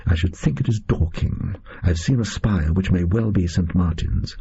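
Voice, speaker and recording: A British man voicing an alert, analytical Victorian detective in an audiobook, speaking in hushed, clipped deductions. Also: low voice, animated, normal speed, vocal fry, husky, full character voice. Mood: hushed urgency. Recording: dull, lo-fi audio.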